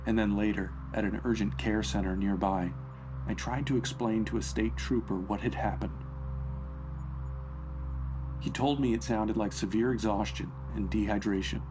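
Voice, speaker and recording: A man's voice: fairly low pitch, steady tone, moderate pace. A man reading a scripted first-person horror story as its narrator. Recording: narration over background music.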